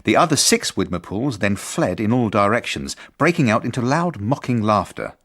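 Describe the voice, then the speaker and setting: An actor playing an earnest, polite, beleaguered headmaster in a radio drama, with a dry, formal, measured manner. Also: male voice, lowish voice, very animated, fairly bright tone, full character voice. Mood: exasperated, wry. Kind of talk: radio drama narration and dialogue.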